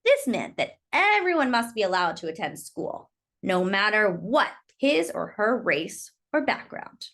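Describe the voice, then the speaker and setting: A woman reading a children's book aloud in expressive storytelling narration. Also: medium pitch, measured pace.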